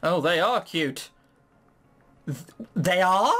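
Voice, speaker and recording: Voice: medium pitch, animated, slow, a bit bright, faint music behind. A let's play host giving casual, sweary, incredulous commentary while reading through a game. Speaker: gaming video host.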